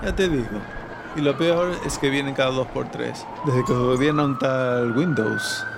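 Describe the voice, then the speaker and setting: Un hombre interpretando a un vecino callejero, cínico y campechano, de voz rasposa, en una ficción sonora. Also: male voice, mid-range voice, a little slow. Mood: scornful. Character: street-wise, cynical local man, chummy. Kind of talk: audio drama acting. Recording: noisy outdoor street soundscape behind.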